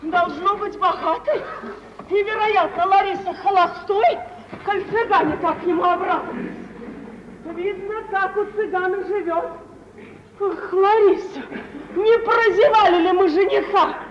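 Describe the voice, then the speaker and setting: A worldly mother forever scheming for her daughter's match. Her voice is very high, coaxing and briskly practical, with old-fashioned stage diction. The radio play recording is old, dull and lightly noisy. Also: roomy sound, some chatter behind. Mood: gleeful curiosity. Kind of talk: 1940s theatrical radio acting.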